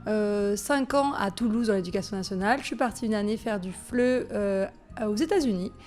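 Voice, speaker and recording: A woman's voice: mid-range voice, moderate pace, a little mellow. A woman primary school teacher giving a friendly, plain self-introduction.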